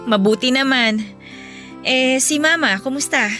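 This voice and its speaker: A high, bright voice with music underneath: a young Filipina daughter in a radio drama. She is earnest and easily hurt, emotional and imploring in her delivery. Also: measured pace. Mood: gentle, concerned. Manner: pleading, emotional. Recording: music behind the voice.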